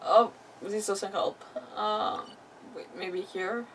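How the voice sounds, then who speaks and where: A woman's voice: mid-range voice, fairly even intonation, takes it slowly, background noise. Woman game streamer, slightly distant mic. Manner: muttering to herself, reacting to the game. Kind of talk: casual live gameplay commentary. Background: game music.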